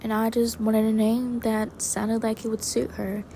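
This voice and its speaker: Give me a soft-spoken woman chatting slowly and hesitantly over her drawing, with a bit of hiss behind her. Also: fairly high pitch, flat delivery. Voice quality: soft and quiet. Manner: rambling, self-deprecating, with hesitant restarts. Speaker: digital artist and channel creator. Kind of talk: casual commentary over a speedpaint.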